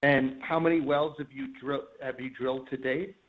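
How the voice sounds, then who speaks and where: A man calling in as an analyst, putting probing questions in a halting way on an earnings call. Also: medium pitch, fairly even intonation. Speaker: caller, investment analyst. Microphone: telephone. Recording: tinny narrow phone line.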